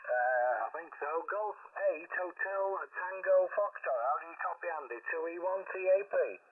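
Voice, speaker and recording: Higher-voiced chatty man over thin radio. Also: expressive, moderate pace, no room sound, narrow, tinny audio. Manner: cheerful, chatty, calls people "mate". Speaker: amateur radio operator. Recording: distant radio signal, phone-thin with hiss.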